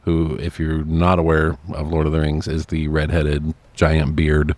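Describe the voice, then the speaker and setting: A man's voice: rumbling low, monotone, measured pace, darkish tone, dry recording. A man co-hosting a podcast, talking casually and enthusiastically about films and swearing freely. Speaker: podcast co-host. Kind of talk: casual movie chat.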